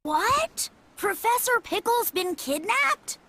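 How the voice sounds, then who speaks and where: A woman's voice: extremely high pitch, very animated, slow, pushed and bright, full character voice. A woman voicing an earnest, eager young boy fox in a video game cutscene, with a youthful delivery. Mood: alarmed.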